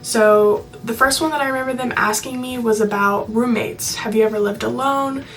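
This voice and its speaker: A woman's voice: a little high, steady tone, moderate pace, a bit bright, far from the mic. A young woman talking in a chatty, reassuring, informal way, giving casual advice to viewers. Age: young adult. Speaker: video blogger.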